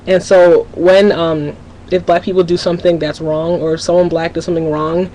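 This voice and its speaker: A woman's voice: lowish voice, little pitch movement, normal speed, dark tone, low-quality recording. A teenage girl rambles to her webcam at home, candid and a little scattered, restarting sentences as her thoughts run ahead. A faint hiss sits under her voice. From Minnesota, USA. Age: teens. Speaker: vlogger. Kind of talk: casual confessional webcam vlog.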